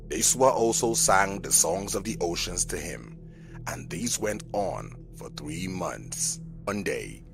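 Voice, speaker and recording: A man's voice: low-pitched, vocal fry, rough recording. A man narrating a scripted folk tale as a storyteller. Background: background music.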